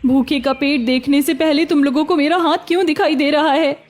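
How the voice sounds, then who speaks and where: A woman voicing a hungry young beggar woman in a cartoon story, plaintive and quick to retort. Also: high-pitched, steady tone, close to an everyday voice. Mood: sharp, cheeky. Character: hungry young beggar woman, pleading yet sharp-tongued. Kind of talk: Hindi cartoon story acting.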